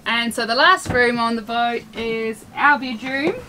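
A woman's voice: on the high side, unhurried. Young woman giving a chatty boat tour. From New Zealand. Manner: chatty, explains while pointing things out. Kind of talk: casual walkthrough tour. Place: cabin of a small sailboat. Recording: camera audio with clear background noise.

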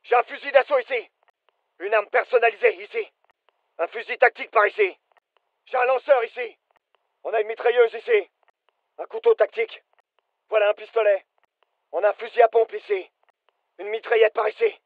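A male actor plays a disciplined soldier in a military shooter game, his voice pitched very high for a man. He delivers terse, commanding callouts in clipped bursts. The recording is close and dry. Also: steady tone, normal speed, pauses often, no echo, narrow, tinny audio, lightly acted. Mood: businesslike. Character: British soldier in a fireteam, urgent and disciplined. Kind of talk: video game military voice lines, short tactical callouts.

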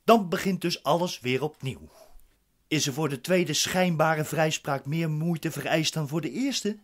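An actor playing a wary, businesslike accused bank employee in a radio play, formal, curt and questioning. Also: male voice, a little high, a little slow. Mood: wary, reserved.

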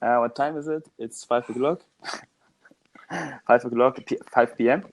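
Calm young man chatting casually. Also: mid-range voice, a little slow, a little hiss or hum, low-quality recording. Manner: calm, fluent. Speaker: language learner being tested in conversation. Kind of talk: casual chat.

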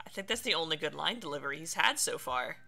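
A game streamer giving casual, playful let's play commentary with lively reactions. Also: medium pitch, quick pace, gravelly. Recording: game music behind.

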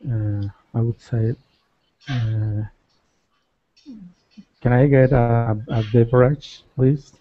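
A man's voice: mid-range voice, level tone, slow, long pauses. A man from Mexico with a Mexican accent, answering hesitantly as an English learner. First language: Spanish. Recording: tinny phone-like video call.

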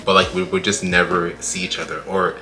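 Fast-talking young man, deep and bright. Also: monotone, faint music behind, poor audio. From Congo, raised in Canada. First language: French. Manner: fast, filler-heavy, gestures a lot. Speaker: co-host, husband. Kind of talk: casual storytime chat. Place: small home room, indoors.

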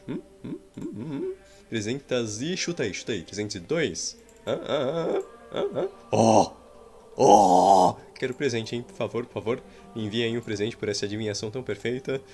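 A young man with a Brazilian Portuguese accent giving playful, rambling gameplay commentary with roleplay jokes and sudden excited outbursts, recorded indoors at home. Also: mid-range voice. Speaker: gameplay streamer. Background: faint game music.

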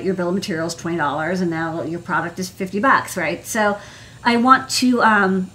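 A woman's voice: mid-range voice, fairly bright tone. Female American engineer for a casual tech stream, chatty and informal, wandering into asides as she explains. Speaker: electronics engineer presenting her work. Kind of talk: casual livestream tech talk. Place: indoor desk workspace.

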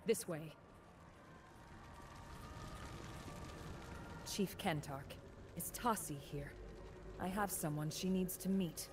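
Actress as an earnest, low-voiced warrior woman. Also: noisy background, natural voice. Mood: determined. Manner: solemn, determined. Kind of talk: fantasy video game voice acting. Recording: game music behind the voice.

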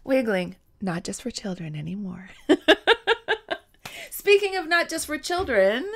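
A woman in her forties with a gravelly voice, talking casually to a webcam as a creative coach in her home studio. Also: sits high, takes it slowly. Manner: warm, encouraging, animated with hand gestures. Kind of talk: casual direct-to-camera vlog. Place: home studio room with bookshelves and string lights. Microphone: webcam at desk distance.

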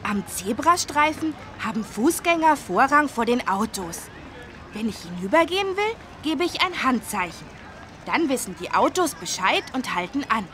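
Young cartoon schoolboy, high lively voice, eager, knowing, helpful, street noise behind. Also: female voice, normal speed. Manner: eager, explanatory. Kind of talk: children's learning game voice acting.

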